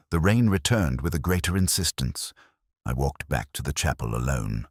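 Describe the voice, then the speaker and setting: A man playing a world-weary, observant middle-aged detective, narrating a mystery in a measured, literary, reflective way. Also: rumbling low, no echo, studio quality. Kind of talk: scripted first-person mystery narration.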